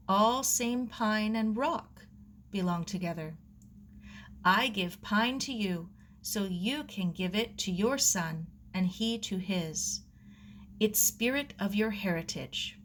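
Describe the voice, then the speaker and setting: A woman voicing a gentle, proud old Japanese gardener who speaks clipped, broken English with a Japanese accent in a storybook reading. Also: mid-range voice, a little hiss or hum. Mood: solemn, proud.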